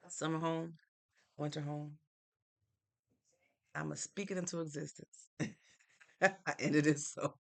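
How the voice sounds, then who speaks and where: A woman from Connecticut hosts a casual live stream and talks through viewer comments in a relaxed, meandering way. Her voice carries a strong, gravelly vocal fry throughout. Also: sits low, takes it slowly, pauses often. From Norwalk, Connecticut, United States. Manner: easygoing and chatty, thinks aloud with fillers.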